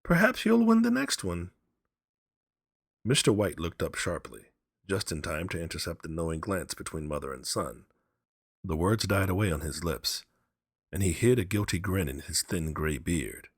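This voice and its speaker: Low, husky male storyteller. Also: moderate pace, dry recording, clean studio sound. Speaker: story narrator. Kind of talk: expressive scripted literary narration.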